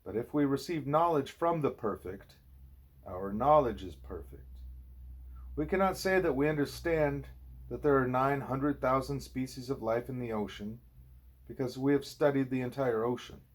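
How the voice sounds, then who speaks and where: Husky man reading a spiritual audiobook. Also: medium pitch, normal speed. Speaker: audiobook reader. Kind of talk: scripted narration of a spiritual dialogue.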